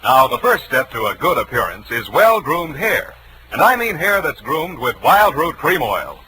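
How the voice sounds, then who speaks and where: A man's voice: fairly high pitch, sing-song, normal speed, bright, forceful tone, slight background noise, low-quality recording. A man announcing in a polished, emphatic 1940s radio commercial style on a vintage broadcast.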